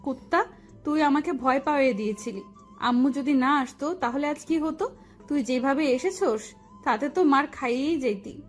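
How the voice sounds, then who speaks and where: A woman acts a feisty young fiancee in an audio story. She is sharp-tongued and scolding, high in pitch, with music behind her. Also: moderate pace, close to an everyday voice. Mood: indignant. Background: background music.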